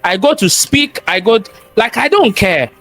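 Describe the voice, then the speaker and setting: High-voiced Nigerian man, emphatic. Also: lively intonation, normal speed, fairly bright tone, faint music behind. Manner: emphatic and preachy, repeats key phrases, asks rhetorical questions. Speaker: guest, cybersecurity expert and entrepreneur. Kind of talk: interview conversation, motivational talk.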